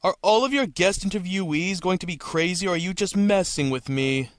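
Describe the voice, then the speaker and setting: A man's voice: high voice, a little fast, no echo, clean studio sound, natural voice. A man playing a nervous, sardonic, put-upon interviewee in a comedic cartoon parody. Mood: exasperated.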